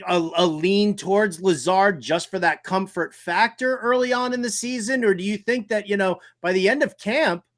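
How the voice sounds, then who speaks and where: A man's voice: sits high, moderate pace, hardly any pauses, fairly bright tone. A man hosting a sports talk show, interviewing casually in a conversational way with a fan's enthusiasm.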